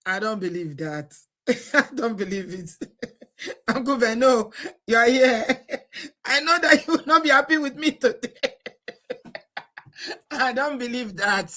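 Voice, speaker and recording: A woman's voice: on the high side, animated, slow pace, pauses often, sharp tone. A Nigerian woman hosting a live stream, chatty and exclamatory, often breaking into laughter. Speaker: host. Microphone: live stream setup.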